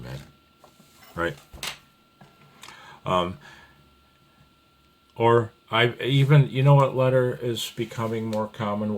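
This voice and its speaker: A man with a distinctly low voice teaches in an informal, rambling way. He takes his time, speaking slowly and thinking aloud. His manner is folksy and a little apologetic, like a hobbyist walking a beginner through the basics. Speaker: amateur radio hobbyist teaching Morse code.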